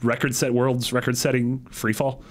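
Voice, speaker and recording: A man with a medium-pitched voice chats on a podcast panel. He explains his points in a casual, conversational way. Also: little pitch movement, moderate pace. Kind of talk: casual pop-culture panel chat.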